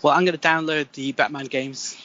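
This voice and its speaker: A man's voice: fairly high pitch, fairly even intonation, normal speed, pushed and bright. A man co-hosting a podcast and chatting casually about games. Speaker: podcast co-host. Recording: dull lo-fi sound with faint noise.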